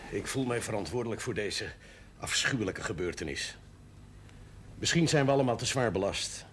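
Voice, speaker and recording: A man's voice: lowish voice, expressive, normal speed, a bit bright. An actor playing a burdened, conscientious clergyman in a television drama, speaking solemnly and formally. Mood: troubled, apologetic. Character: burdened, conscientious man in pastoral office. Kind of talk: Dutch television period drama acting. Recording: noisy old television soundtrack.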